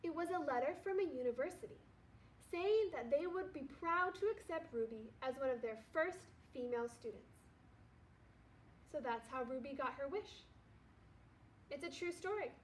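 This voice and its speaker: High-voiced woman teacher reading aloud. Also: expressive, normal speed, pauses often, a little hiss or hum, low-quality recording. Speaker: second grade teacher. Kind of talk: children's storybook read-aloud.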